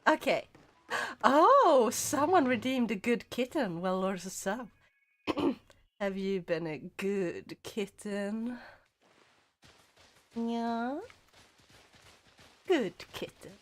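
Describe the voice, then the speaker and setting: A woman's voice: fairly high pitch, very animated, very slow, pauses often, a bit bright. A young woman with a light Swedish accent, casually chatting with viewers and giggling while she plays a game on stream. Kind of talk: casual live-stream chat while gaming.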